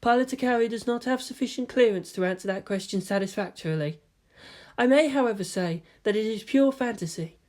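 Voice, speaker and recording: A woman's voice: fairly high pitch, fairly quick, darkish tone, pitched above the performer's own voice, natural voice. A woman voicing a matter-of-fact, emotionless teenage girl pilot with formal, precise speech. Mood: detached.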